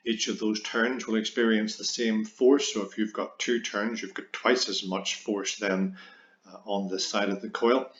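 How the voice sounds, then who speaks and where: A man teaching a physics lesson, explaining step by step and stressing key words. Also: fairly low pitch. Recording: roomy echo, dull lo-fi sound.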